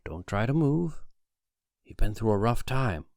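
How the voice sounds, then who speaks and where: Male reader as smooth-talking plotter, calm, unhurried, measured, dry studio-like sound, audiobook. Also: medium pitch, no echo, pitched above the performer's own voice. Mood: soothing. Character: calm, smooth-talking male conspiracy leader behind a desk.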